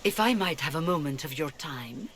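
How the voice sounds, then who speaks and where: A woman's voice: low-pitched, animated, normal speed. A woman playing a dignified, courteous mage rebel leader in a fantasy game, formal and composed. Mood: composed invitation.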